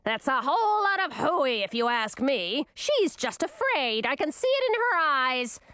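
A woman voicing a shrill, scornful old queen with a screechy, contemptuous way of scolding. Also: very animated, unhurried, pushed and bright, dry recording, full character voice.

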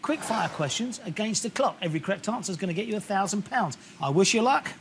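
A man hosting a television quiz show, introducing contestants in a brisk, playful way and firing off questions. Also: high-pitched, animated, gravelly, fairly bright tone, slight background noise. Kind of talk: upbeat scripted game show presenting. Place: television studio. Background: game show music.

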